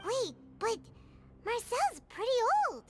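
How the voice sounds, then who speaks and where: A woman voicing a small, excitable childlike fairy companion in a video game, exclamatory in manner. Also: very high-pitched, slow, over loud music, exaggerated voice. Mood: surprised. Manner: talks of self in third person, exclamatory.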